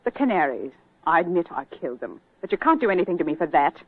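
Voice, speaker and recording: A woman's voice: on the low side, sing-song, fast talker, slightly rough, no room sound. On a dry, muffled vintage radio recording, a hard, defiant widow with murder in her. She is scornful and bitter, delivering her words with period melodrama. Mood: bitter, defensive. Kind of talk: 1940s radio melodrama acting. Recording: muffled, narrow-band 1940s radio recording.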